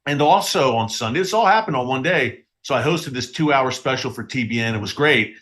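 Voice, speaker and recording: A man's voice: mid-range voice, expressive, brisk, fairly bright tone. A man hosting a live-streamed news commentary show from a studio, a little congested and sniffling, talking straight to his viewers with emphasis. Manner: direct address to viewers, emphatic.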